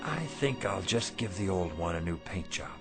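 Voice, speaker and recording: A man acting in a radio drama as a weary, wistful middle-aged shopkeeper husband, halting and rueful in manner. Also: sits low, animated, vocal fry, music in the background. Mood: relieved, wry. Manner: halting, self-doubting, rueful. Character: weary, wistful failing antique shop husband.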